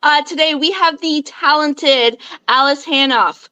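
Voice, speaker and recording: A woman's voice: high-pitched, measured pace, sharp tone. A woman co-hosting a podcast, asking friendly questions. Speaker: podcast co-host. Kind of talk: casual interview questions.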